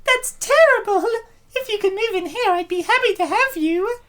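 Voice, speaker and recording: A performer putting on a very high, bright young woman. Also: animated, moderate pace. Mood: concerned, welcoming. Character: slim young woman, polite cheerful heroine of a comic. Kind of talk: amateur comic dub voice acting.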